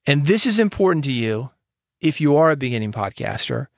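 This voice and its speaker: A man's voice: fairly high pitch, sing-song, brisk, no echo. A man hosting his own podcast alone, giving direct, confident advice into a studio microphone. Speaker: podcast host. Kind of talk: solo podcast talk.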